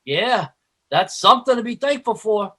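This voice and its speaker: A freeloading working-class neighbor in a radio sitcom. His very high, sharp voice delivers dry wisecracks and noble-sounding sentiment in rough grammar. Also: expressive, moderate pace, exaggerated voice. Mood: agreeable, dry. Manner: wisecracking, ungrammatical, mock-noble. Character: working-class next-door neighbor and best pal, dry-witted, freeloading. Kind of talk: old-time radio comedy acting.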